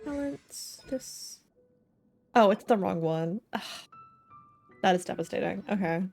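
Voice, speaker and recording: A woman streams a game and chats casually as she goes. She thinks out loud in a playful, meandering way full of silly slang, at a middling pitch, with game music playing behind her. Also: lively intonation, measured pace, gravelly. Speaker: livestreamer. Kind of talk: casual gaming stream chat.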